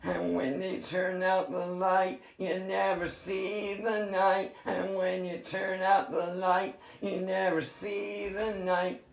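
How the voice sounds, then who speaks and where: A man from San Diego with a rough, worn voice, rambling through a half-sung freestyle and chatty, swearing talk at home. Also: sits high, monotone, slow, hardly any pauses, reverberant, low-quality recording. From San Diego, California. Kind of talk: improvised singing and casual vlog talk.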